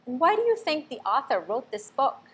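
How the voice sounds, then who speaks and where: Expressive woman reading to children. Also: sits high, normal speed, breathy edge. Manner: expressive, teacherly. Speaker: storybook reader. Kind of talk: children's read-aloud with questions.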